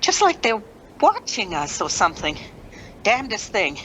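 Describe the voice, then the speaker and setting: A woman's voice: medium pitch, wildly swooping pitch, moderate pace, fairly bright tone, slight background noise, low-quality recording. A woman playing a chatty, businesslike rescue officer making radio reports in a radio play. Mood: curious, puzzled. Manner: businesslike yet folksy.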